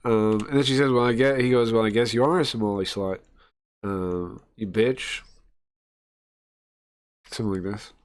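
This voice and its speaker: A man's voice: fairly low pitch, normal speed, long pauses. A man speaking casually as a streamer, reacting live to a debate recording. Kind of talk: casual live commentary on a played clip.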